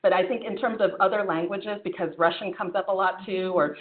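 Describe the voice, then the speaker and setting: A woman's voice: medium pitch, steady tone, brisk. A woman physician giving a conference talk and answering questions, in a conversational way with frequent fillers and restarts. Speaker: physician presenter. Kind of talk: conference presentation with Q&A. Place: conference room. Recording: phone-like echoey room sound.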